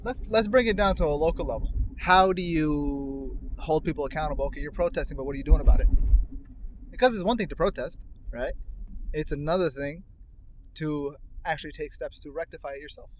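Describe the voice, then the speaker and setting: A man's voice: on the high side, fairly quick, a little hiss or hum, low-quality recording. A man co-hosting a casual discussion, putting probing and rhetorical questions in a conversational way. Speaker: co-host asking questions. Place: inside a car.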